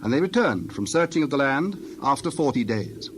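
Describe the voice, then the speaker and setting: A male narrator with a medium-pitched voice tells a scripture story. He reads solemnly and deliberately, with birdsong and clear ambient noise behind him. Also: big pitch swings.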